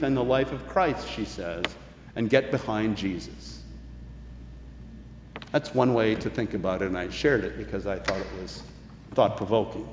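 A man preaching a sermon as a pastor, in a measured, earnest way with emphatic phrasing. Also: medium pitch, a little hiss or hum. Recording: dull, echoing, long-reverb hall recording.

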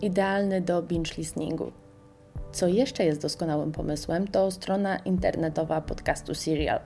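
Mellow-voiced woman radio host. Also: on the low side, gravelly, faint music behind. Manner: reads from a script at an even, measured pace. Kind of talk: scripted radio podcast review.

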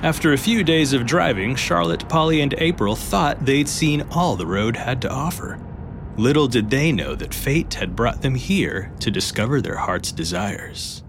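A man's voice: mid-range voice, animated, normal speed, vocal fry, noisy background. A man playing a tongue-in-cheek romance novel narrator, dramatic and scripted. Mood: dramatic, sultry. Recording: loud guitar music under voice.

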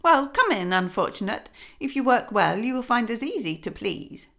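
A woman reading a fairy tale voices the lady of the house, who speaks loudly and bossily. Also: on the high side, very animated, moderate pace, voice pushed up. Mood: brisk. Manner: loud, emphatic, bossy. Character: the lady of the house, brisk and commanding.

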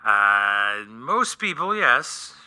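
A man's voice: mid-range voice, sing-song, drawn-out words, sharp tone, smooth, exaggerated voice. A man acting an exasperated, sarcastic, prying Hollywood agent in a comedic radio play, talking in incredulous, rambling rants. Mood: badgering.

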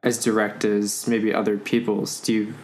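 A man who is interviewing in a casual conversation, asking questions in a slow, measured way. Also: lowish voice, monotone, a little mellow.

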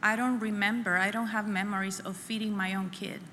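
Middle-aged woman, bright and sharp tone, earnest testimony speaker in a reverberant church hall. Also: mid-range voice, fairly even intonation. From El Salvador. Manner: earnest and halting, repeats phrases. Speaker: conference speaker sharing her testimony. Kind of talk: personal testimony at a church women's conference. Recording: echoey hall with faint room noise.

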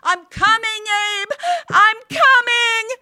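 Frantic, shrill elderly storybook mother. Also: sing-song, slow pace, sharp tone, no echo, studio quality, full character voice. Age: older. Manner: breathless, hysterical, imperious. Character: frantic grief-maddened elderly mother, shrieking and desperate. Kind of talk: dramatic storybook character acting.

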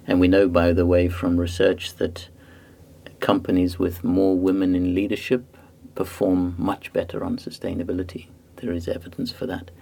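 Low, gravelly, flat-voiced man from Zimbabwe. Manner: measured, explanatory, many filler pauses. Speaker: guest author. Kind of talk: podcast interview answers.

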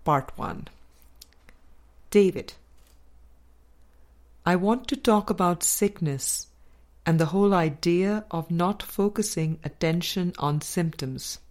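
A woman reading a spiritual book aloud as a scripted narration, with measured, deliberate phrasing in short groups. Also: fairly low pitch, darkish tone. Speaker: audiobook reader.

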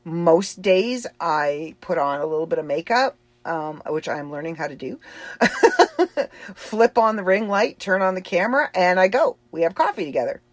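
Chatty low-voiced Boston woman. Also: lively intonation, normal speed, no room sound. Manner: chatty, rambling, laughs easily. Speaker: author and livestream host. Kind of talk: casual personal update.

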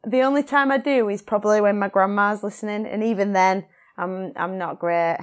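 A woman's voice: medium pitch, fairly even intonation, normal speed. A young woman with a Yorkshire accent, chatting casually as a podcast guest, laughing often and swearing freely. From England. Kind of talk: casual chat.

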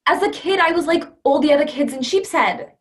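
Woman playing young new girlfriend in a stage play, slightly high voice, blunt, gets defensive. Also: a little fast, lightly acted. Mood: reluctant, candid. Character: defensive, frank young Brooklyn girlfriend, well-meaning.

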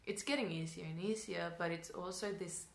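A young woman with a Swedish accent, Swedish her first language, speaks in a lowish voice. She talks through her thoughts with restarts and little laughing asides. The room around her is echoey. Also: fairly even intonation, normal speed, creaky. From Sweden. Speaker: acting student. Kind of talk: casual interview chat.

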